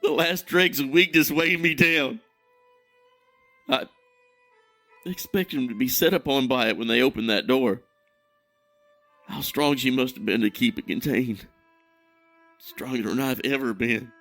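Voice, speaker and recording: A haunted, remorseful man delivers a dark fantasy monologue in a medium-pitched voice. He broods on his own weakness with heavy dramatic pauses, and music plays underneath. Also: dry recording. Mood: anguished bitterness.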